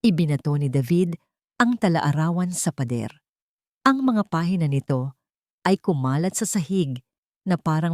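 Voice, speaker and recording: A woman narrates a dramatic audiobook in a fairly low voice. The recording is dry and close, with little room sound around her scripted delivery. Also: a little mellow, no echo.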